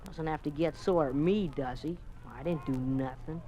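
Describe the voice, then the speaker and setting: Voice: medium pitch, moderate pace, warm tone, natural voice. An American performer playing an eager, naive schoolboy with earnest boyish manners in a 1950s radio drama. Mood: put out. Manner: boyish, gee-golly earnestness. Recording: hissy 1950s radio recording.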